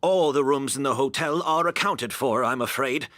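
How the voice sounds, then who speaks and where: An actor playing a gracious, apologetic host who explains things politely and considerately. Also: male voice, medium pitch, moderate pace, a bit bright, dry recording, clean studio sound, lightly acted. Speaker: hotel owner and host.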